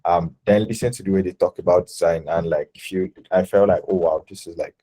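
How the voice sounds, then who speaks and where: A young Nigerian man in his twenties talking about his life and design work in a relaxed interview, full of fillers and self-corrections. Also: deep voice, a little fast. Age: 20s. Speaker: designer guest. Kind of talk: casual interview talk.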